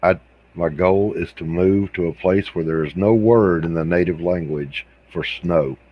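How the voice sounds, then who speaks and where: A man's voice: low voice, a little slow, muffled recording. A man from South Carolina with an American accent, chatting casually in a wry, joking way. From Hilton Head Island, South Carolina. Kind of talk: casual chat.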